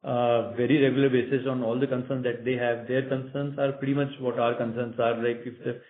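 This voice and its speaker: A man with an Indian accent, a company executive giving halting, measured, unscripted answers on a business call. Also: medium pitch, flat delivery, phone-quality sound. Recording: echoey, band-limited conference call line.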